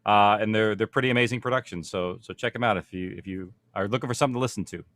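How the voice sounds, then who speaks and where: A man's voice: lowish voice, fast, fairly bright tone, no echo. A man speaking informally as a panel host, explaining things in a conversational way. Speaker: panel host, audio production producer.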